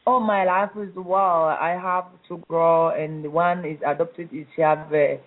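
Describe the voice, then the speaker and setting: A middle-aged woman from DR Congo with a Congolese accent. She is a guest in an interview, answering in simple, halting phrases with frequent pauses. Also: low voice, steady tone. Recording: tinny phone line.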